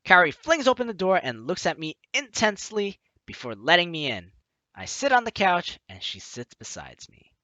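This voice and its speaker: A man's voice: high-pitched, big pitch swings, moderate pace, sharp tone, no room sound, muffled recording. A man hosting a let's play, expressively reading a story game's text aloud and reacting with enthusiasm. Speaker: gaming video host.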